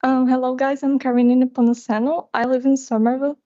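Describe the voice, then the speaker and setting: A woman's voice: sits high, flat delivery, quick pace, warm tone. A teenage girl, a native Portuguese speaker, giving testimony as a student in short phrases. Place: committee hearing. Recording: dull, muffled audio.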